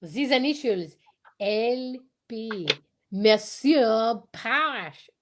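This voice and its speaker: A woman voicing a shrewd male detective in an amateur radio drama, formal and halting. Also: on the high side, animated, very noisy. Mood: pondering. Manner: formal, deliberate, halting, peppered with French phrases.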